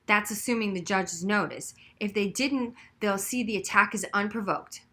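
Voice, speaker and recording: A woman's voice: medium pitch, moderate pace, sharp tone. A woman voicing a tired, glum but fair male teacher in a storybook read aloud.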